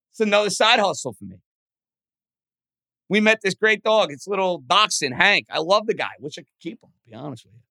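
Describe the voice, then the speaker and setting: A man with a booming voice hosting a sports podcast, excitable and emphatic in short punchy phrases. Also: no echo. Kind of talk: energetic sports commentary and interview.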